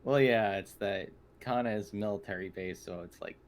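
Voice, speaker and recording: A man with a lowish voice casually describes his character's moves in a tabletop game. The online voice chat carries slight background noise. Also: moderate pace. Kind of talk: casual narration of own character. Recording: faintly noisy online voice chat.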